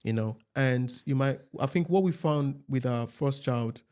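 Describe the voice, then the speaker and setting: A man's voice: medium pitch, measured pace, dark tone, clear, resonant tone. Agreeable, chatty man from Nigeria. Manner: agreeable, chatty, lots of "yeah" and "exactly". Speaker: podcast co-host. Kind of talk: casual podcast chat.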